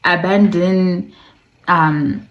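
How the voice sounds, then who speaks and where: A woman's voice: fairly low pitch, fairly even intonation, drawn-out words, low-quality recording. A woman hosting a video essay in a conversational way, with playful asides, repeated words for emphasis and some passages read aloud. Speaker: online video host.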